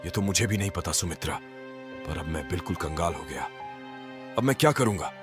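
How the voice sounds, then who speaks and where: A man's voice: low-pitched, expressive, brisk, over loud music. A man playing a humble, worried street food vendor and family man in a moral-story cartoon, speaking earnestly. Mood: despairing.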